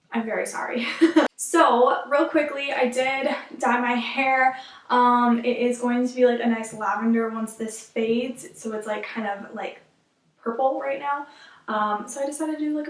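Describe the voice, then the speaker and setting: A young woman vlogging at home in casual chat, rambling with lots of "like" and fillers, a little sniffly from allergies. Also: on the high side. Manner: rambling, filler-heavy, frequent "like". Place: room at home. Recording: echoey room, camera a bit far.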